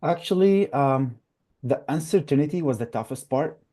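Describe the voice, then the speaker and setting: A man's voice: on the high side, animated, normal speed, warm tone. A young man from Iraq, a new resident doctor, answers interview questions earnestly, with frequent fillers. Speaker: guest, newly matched resident.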